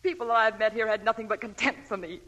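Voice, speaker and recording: An actress playing a desperate, devoted young wife in a 1940s radio drama, pleading and emotional. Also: female voice, fairly high pitch, steady tone, fast talker, fairly bright tone, lo-fi sound, lightly acted. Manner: pleading, emotional, breathy whispers.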